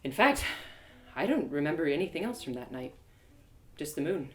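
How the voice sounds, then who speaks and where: Expressive woman storyteller in an echoey room. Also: low-pitched, moderate pace. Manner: expressive, theatrical delivery. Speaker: host and narrator of a live audio story reading. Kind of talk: animated first-person storybook narration.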